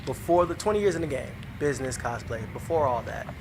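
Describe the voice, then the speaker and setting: Very low-voiced, laid-back woman interviewer. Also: animated, fast. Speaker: interviewer, podcast co-host. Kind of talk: casual interview chat. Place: busy convention interview setting. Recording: clearly noisy location recording.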